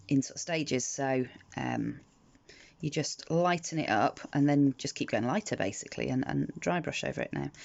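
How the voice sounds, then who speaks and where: A British woman with a croaky, gravelly morning voice talks through a home craft tutorial in a chatty, unhurried way, full of ums and restarts. The recording is a little dull and lo-fi, with a faint hiss underneath. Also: a bass voice, darkish tone. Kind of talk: casual step-by-step craft tutorial. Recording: slightly dull lo-fi audio with faint noise.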